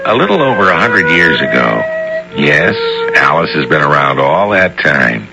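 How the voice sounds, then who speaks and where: A man's voice: extremely low pitch, sing-song, normal speed, low-quality recording. A man narrating as host of an old-time radio drama, addressing listeners like a storyteller. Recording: old radio broadcast with loud music.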